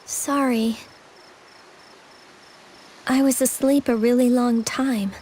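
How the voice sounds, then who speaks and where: An actress playing a gentle, timid young princess in a video game, speaking hesitantly and earnestly. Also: female voice, fairly high pitch, steady tone, a little hiss or hum. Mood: soft, apologetic. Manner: hesitant, halting.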